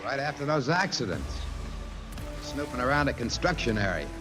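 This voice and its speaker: Plain-spoken guard acted by a man, medium pitch, clipped delivery, vintage radio drama, loud music. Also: big pitch swings, moderate pace, natural voice. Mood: matter-of-fact. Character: plain-spoken male security guard witness. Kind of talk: old-time radio drama acting.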